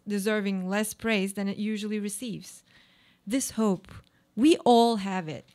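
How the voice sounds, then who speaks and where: A woman acting in a live radio play as a weary, haunted woman, delivering a reflective, theatrical monologue. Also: a little high. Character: weary, haunted woman brooding on hope and existence.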